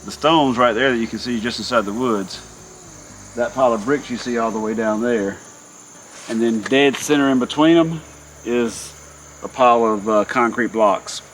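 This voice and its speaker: A man's voice: medium pitch, moderate pace, slight background noise, low-quality recording. Easygoing man talking while hauling bricks. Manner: easygoing, chatty, drawls while working. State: hot and working hard. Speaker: vlog host. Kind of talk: casual chat while doing yard work. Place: outdoors in a backyard by a deck.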